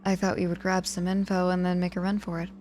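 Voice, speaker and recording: A woman playing a cocky but softening injured witch mentor in an ASMR audio roleplay, dryly teasing, apologetic and protective with hesitant pauses. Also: lowish voice, flat delivery, a little hiss or hum, natural voice. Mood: apologetic, confiding.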